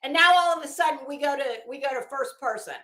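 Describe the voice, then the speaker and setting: A woman host reading aloud and adding sarcastic, emphatic, halting commentary as she goes. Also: sits high, bright, forceful tone. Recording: echoey room sound.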